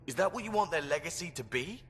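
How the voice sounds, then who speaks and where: A blunt, exasperated starship engineer in a game cutscene. His mid-pitched voice moves up and down emphatically. It rings with a long reverb over faint music. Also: animated, quick pace, fairly bright tone. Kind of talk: video game cutscene acting.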